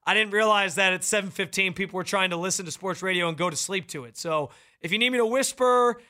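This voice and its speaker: Loud, bright-voiced sports radio man. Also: high voice. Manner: loud, projecting delivery; fired-up, opinionated. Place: radio studio. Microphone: studio microphone, close.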